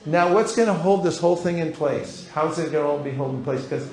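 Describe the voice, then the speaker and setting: A man's voice: fairly high pitch, brisk, a crowd in the background. A man leading a live seminar, teaching with a playful manner and acting out short dialogues. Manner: playful, acts out little dialogues. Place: large hall. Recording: roomy hall sound.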